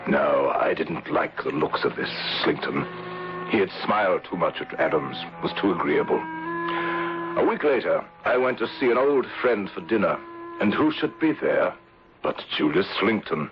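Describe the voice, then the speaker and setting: Formal English office chief, radio drama. Very deep, measured, watchful; muffled vintage broadcast sound. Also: male voice, lively intonation. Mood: wary, reflective. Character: Edgar Sampson, shrewd, wary English insurance manager. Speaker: actor narrating and playing the lead. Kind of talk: 1970s radio drama acting. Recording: dull old radio recording with music.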